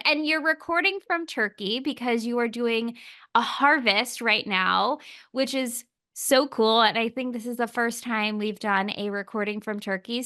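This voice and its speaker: A woman's voice: on the high side, sharp tone. A woman hosting a podcast interview, speaking in an enthusiastic, upbeat way. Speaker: podcast host.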